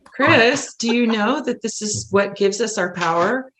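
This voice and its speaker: A woman's voice: on the high side, lively intonation, a little slow, a bit bright, slight background noise. A woman guest on a podcast talking casually and earnestly, in an emphatic, rambling way. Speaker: podcast guest, musical playwright. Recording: roomy, echoey sound.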